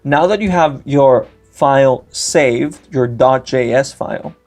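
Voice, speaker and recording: A man's voice: medium pitch, takes it slowly, a little mellow, soft background music. A young man whose first language is Greek, giving a step-by-step screen-recorded tech tutorial with frequent filler sounds. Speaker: tutorial host.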